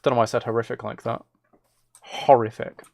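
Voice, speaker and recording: A man's voice: medium pitch, little pitch movement, brisk, gravelly, darkish tone. A man chats casually with viewers as he plays a game, rambling and musing aloud. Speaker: live streamer. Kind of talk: casual chat with viewers while gaming.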